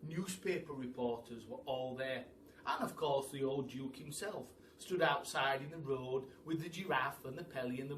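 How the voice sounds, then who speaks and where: A man giving an animated, theatrical storybook reading for children. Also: medium pitch. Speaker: primary school storyteller. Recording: roomy echo, distant mic, faint noise.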